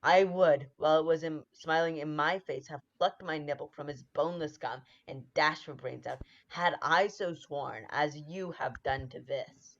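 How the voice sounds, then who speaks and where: A woman playing a ruthless, scheming noble lady who drives her husband on, in 1940s radio-play style, with urgent forceful delivery. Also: deep voice, moderate pace, few pauses. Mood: scornful. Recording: dull lo-fi sound.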